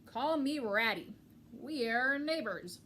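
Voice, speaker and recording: A woman voicing a scrappy, cheerful, bumbling male pack rat from a storybook, loud and blunt with a breezy manner. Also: high voice, expressive, slow pace, slight background noise, heavily acted. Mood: breezy, matter-of-fact.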